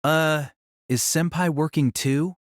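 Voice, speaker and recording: A woman's voice: deep voice, takes it slowly, no echo, clean studio sound. A woman playing a polite, bewildered teenage boy student in an audio drama, hesitant and questioning. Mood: hesitant, subdued. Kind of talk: anime-style audio drama acting.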